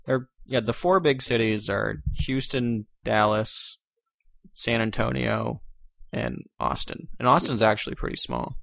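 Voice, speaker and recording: Guy with a froggy allergy voice, kinda gravelly, chill short answers, dry sound. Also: mid-range voice, expressive, a little slow, fairly bright tone, no echo. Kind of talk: casual chat.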